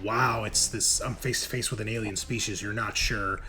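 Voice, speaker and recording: A man's voice: on the low side, fairly even intonation, moderate pace. A man acting as game master, narrating the action of a tabletop role-playing game in a casual, conversational, storytelling way.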